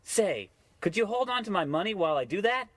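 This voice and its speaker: A man's voice: high-pitched, big pitch swings, a little fast, a bit bright, dry recording, full character voice. A man voicing an eager, naive young paperboy in a comic old-time radio play, breathless and politely gee-whiz. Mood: naive, trusting.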